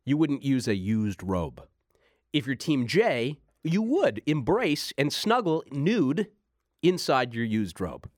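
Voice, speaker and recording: Man, radio co-host, mock-indignant teasing, lively pitch, dry close mic. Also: takes it slowly, no echo. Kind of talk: casual banter.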